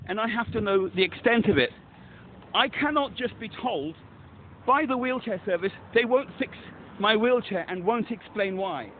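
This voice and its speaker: A man's voice: sits high, a little hiss or hum. A man on a phone call, pressing his complaint urgently and repeating himself. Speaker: caller. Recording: tinny phone line.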